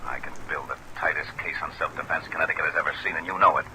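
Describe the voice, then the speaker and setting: An actor playing a calculating, assured fugitive killer negotiating his surrender in an old radio drama, brisk and businesslike. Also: very deep voice, steady tone. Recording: noisy vintage radio recording with rain.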